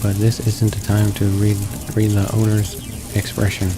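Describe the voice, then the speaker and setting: A man reading a visual novel's narration aloud as a let's player, halting and correcting himself. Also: fairly low pitch, completely flat delivery. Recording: game music behind the voice. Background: visual novel background music.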